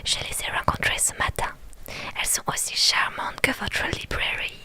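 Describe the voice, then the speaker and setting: A woman's voice: moderate pace, pushed and bright. A woman voicing an eager, polite, slightly flustered young newcomer heroine of a story game, whispering hesitantly. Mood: pleased, polite.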